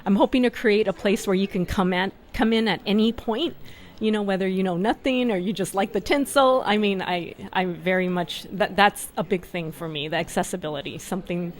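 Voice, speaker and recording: A chatty woman from California, interviewed at an art fair booth. Her voice sits at a middling pitch, and she talks in a loose, casual way, full of "um" and "like" and quick laughs. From California, USA. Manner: chatty, laughs often, uses fillers like "um" and "like". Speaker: artist, guest.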